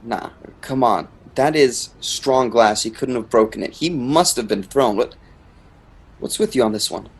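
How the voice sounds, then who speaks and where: A man's voice: medium pitch, unhurried, slightly rough. An actor playing a skeptical, persistent man in a fan-made drama, hesitating and repeating himself as he questions things. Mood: insistent.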